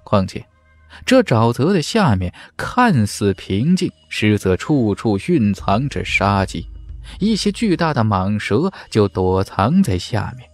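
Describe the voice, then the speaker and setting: A man's voice: lowish voice, big pitch swings, slow, no room sound. A man reading a fantasy novel as dramatic scripted narration, with theatrical, emphatic storytelling. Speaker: audiobook narrator. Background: background music.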